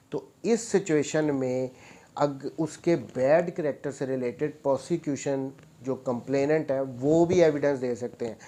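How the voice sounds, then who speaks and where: A man's voice: on the high side, warm tone. A man with a Pakistani accent gives an explanatory law lecture, reading statutes aloud, then rephrasing the points and mixing in English terms. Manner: repeats and rephrases points, mixes English terms into his speech.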